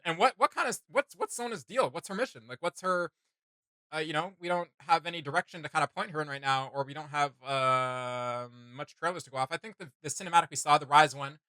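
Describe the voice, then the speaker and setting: A man's voice: fairly high pitch, pushed and bright, no room sound. A man co-hosting a reaction video, chatting casually in a rambling, enthusiastic way about what he likes. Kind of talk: casual opinion chat with co-host.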